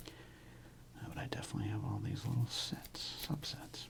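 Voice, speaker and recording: A man hosting an ASMR video, speaking in a breathy whisper with a gravelly creak, rambling casually as if musing to himself. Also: normal speed. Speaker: host of a sports card ASMR channel. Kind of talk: ASMR, unscripted whispered commentary on a card collection.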